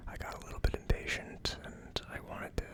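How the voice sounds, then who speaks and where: Soft unhurried ASMR whisperer. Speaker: ASMR video host. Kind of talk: ASMR, casual whispered talk to viewers.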